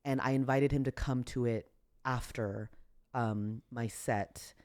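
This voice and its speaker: A woman with a deep voice, a comedian guest telling a story in casual podcast chat, relaxed and low-key. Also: slow pace. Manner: relaxed, low-key, acts out quotes with raised voice.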